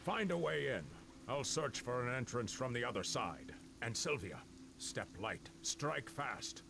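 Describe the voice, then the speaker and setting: An actor playing a commanding master of monster hunters in a video game. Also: male voice, mid-range voice, lively intonation, measured pace, creaky, noisy background. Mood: brisk, approving. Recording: music behind the voice.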